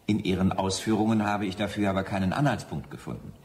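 An actor in a German radio drama playing a stern, skeptical nineteenth-century judge, formal and authoritative. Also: male voice, low voice, lively intonation, fast, darkish tone. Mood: formal, probing. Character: stern, skeptical male judge presiding in a 19th-century court. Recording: echoey room, faint noise and ambience.

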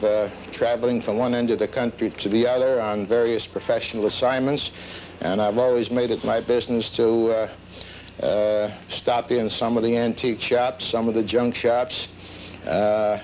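Formal, halting older man, faintly noisy tape. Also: lowish voice, darkish tone. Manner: deliberate, halting, formal wording. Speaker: labor lawyer and collector being interviewed. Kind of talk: formal interview talk. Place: book-lined office, indoors. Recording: slightly noisy old videotape.

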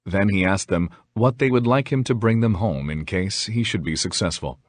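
Guy reading a fairytale, low kinda husky voice, really dry close sound. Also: moderate pace, dry recording. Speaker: storyteller. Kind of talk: scripted fairy tale narration.

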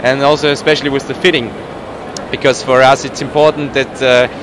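A man's voice: mid-range voice, level tone, brisk. Guy around 30, non native english, chatting in an interview, kinda hesitant, busy noisy trade show behind him. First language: not English. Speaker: professional cyclist being interviewed. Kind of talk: casual interview. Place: indoor trade show booth. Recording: noisy trade show hall, background ambience.

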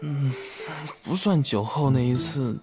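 A man acting a flustered young newlywed in an audio drama, halting and embarrassed as he confides in a friend. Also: medium pitch, animated, over music, close to an everyday voice.

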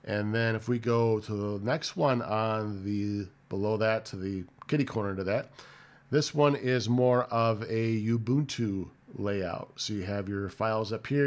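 A man in his forties with a slightly nasal voice from a cold, hosting a casual tech walkthrough from his home office, talking loosely with fillers and restarts. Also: lowish voice. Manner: conversational, many fillers and restarts. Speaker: tech channel host. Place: home office with desk and monitor.

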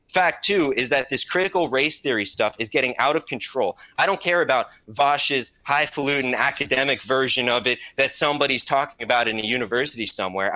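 A man's voice: mid-range voice, bright, forceful tone, rough recording. A man calling into an online debate, arguing insistently with many restarts and repeated words. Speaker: debate caller.

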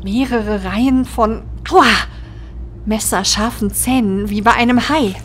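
A woman's voice: fairly high pitch, a little slow, a little hiss or hum, lightly acted. A woman playing a tense deputy in a horror audio drama. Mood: uneasy. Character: tense female deputy examining a creature. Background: faint eerie music.

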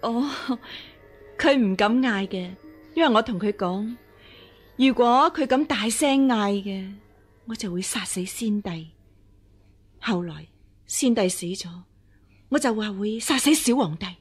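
An actress playing a scheming impostor empress dowager in a radio drama, deferential, hesitant and pleading. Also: female voice, medium pitch, animated, unhurried, pauses often, breathy edge, soft background music. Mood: defensive. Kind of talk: Cantonese radio drama acting.

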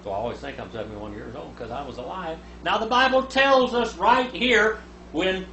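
Man in his seventies, Bible teacher, echoey small room, steady hum in the background. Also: a little high, moderate pace. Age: 70s. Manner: reads scripture aloud, rising loud for quoted speakers.

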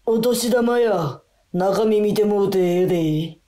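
A woman's voice: medium pitch, slow, warm tone, lightly acted. An actress playing a girl who poses as a canny old money-lending tycoon, pompous and drawling in old-fashioned Kansai speech. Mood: grand, generous. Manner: pompous, drawling merchant talk. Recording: music bed behind the voice.

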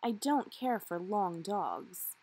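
Woman voicing an aloof little lady dachshund, slow-spoken. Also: mid-range voice, expressive, mellow, slightly rough, exaggerated voice. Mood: dismissive. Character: aloof, hard-to-please little female dachshund.

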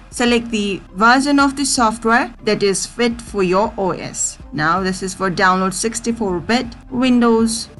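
A woman hosting a software tutorial, giving instructions step by step. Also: fairly high pitch. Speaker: tutorial host.

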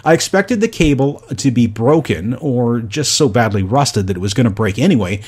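A middle-aged man narrating his motorcycle restoration in a scripted voice-over, with a wry, animated delivery. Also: medium pitch, moderate pace, husky.

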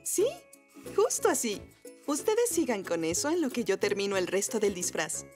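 A woman voicing a calm, caring zebra mother in a children's cartoon, patient and reassuring. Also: mid-range voice, normal speed, soft background music. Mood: instructive.

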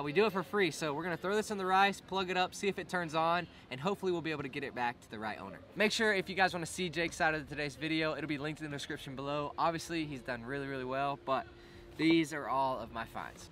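A man's voice: a little high, fast, a bit bright, a little hiss or hum. A young man, a diving vlogger, chatting outdoors at a picnic table as he shows what he found, upbeat and laughing often. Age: young adult. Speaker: treasure-hunting diver and vlogger. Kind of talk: casual show-and-tell vlog.

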